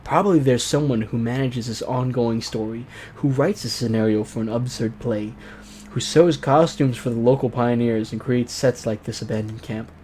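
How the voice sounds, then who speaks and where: A man's voice: medium pitch, moderate pace, dark tone, slight background noise. A man hosting a let's play, reading a visual novel aloud theatrically and breaking off into mocking, exclaimed asides. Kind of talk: narrated game let's play with joking asides.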